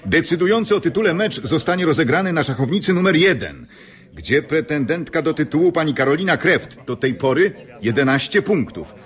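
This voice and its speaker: An actor playing a formal, courteous tournament announcer in a radio drama, ceremonious and emphatic. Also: male voice, fairly high pitch, big pitch swings, fairly quick.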